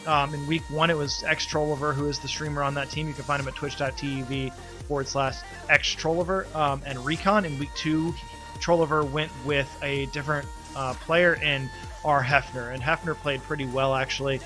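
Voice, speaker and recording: A man with a stuffy, congested voice gives a loose, halting recap as league organizer, with many ums. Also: on the high side, steady tone, music in the background. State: congested, coming down with a cold. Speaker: league organizer and team player. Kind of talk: casual spoken recap.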